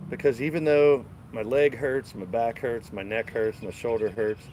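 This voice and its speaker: A man's voice: mid-range voice, steady tone, normal speed, darkish tone, poor audio. Casual male streamer, congested, rambling outdoor garden talk on a phone mic, faint street noise behind. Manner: rambling, laid-back, talks to chat while walking. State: stuffed up. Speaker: live stream host. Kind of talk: casual live-stream chat. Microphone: phone camera held while walking.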